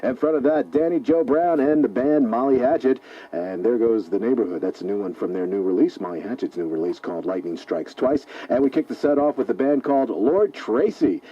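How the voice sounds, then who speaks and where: A man's voice: medium pitch, expressive, fairly quick, mellow, slightly rough, dull audio. An American man working as a rock radio DJ, presenting and interviewing casually, heard on an old aircheck tape.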